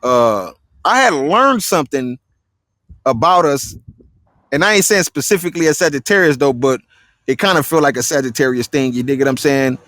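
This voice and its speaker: A man's voice: medium pitch, animated, moderate pace, a bit bright, no echo, studio quality. Laid-back man hosting with street slang. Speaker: interview host. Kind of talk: casual interview chat.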